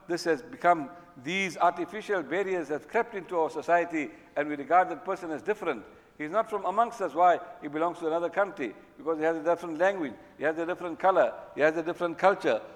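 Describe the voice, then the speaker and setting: Fast-talking male preacher in an echoey hall. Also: on the high side. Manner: emphatic, repeats phrases for stress. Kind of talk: religious sermon. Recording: echoey hall with long reverb.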